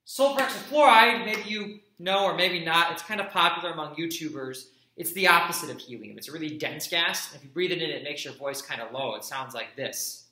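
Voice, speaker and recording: A man delivering a chemistry lesson in a brisk, explanatory way, dropping casual asides. His voice is very bright and a bit high. It rings in an echoey room. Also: slight background noise. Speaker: chemistry teacher. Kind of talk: recorded classroom lecture.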